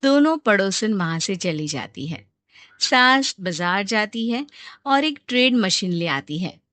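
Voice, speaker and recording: A woman's voice: mid-range voice, sing-song, a little slow, a bit bright, no room sound. A woman reading a scripted moral story as its narrator.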